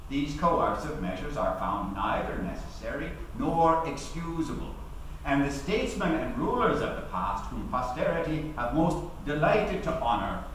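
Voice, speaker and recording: An actor in his forties playing an eloquent, defiant labour activist of 1919 giving a formal, rhetorical defence speech in court. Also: fairly high pitch. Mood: measured, formal. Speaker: actor giving a dramatic reading. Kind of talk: theatrical courtroom defence oration. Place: large hall, at a podium. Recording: echoey hall, distant mic, faint noise.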